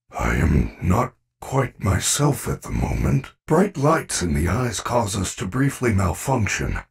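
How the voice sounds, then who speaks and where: A man's voice: low voice, creaky, breathy edge, distant mic, heavily acted. A man voicing a friendly, caring animatronic bear in a video game, speaking in formal, precise, earnest sentences. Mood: gentle, concerned. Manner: formal, precise wording without contractions, earnest. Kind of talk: video game character voice acting.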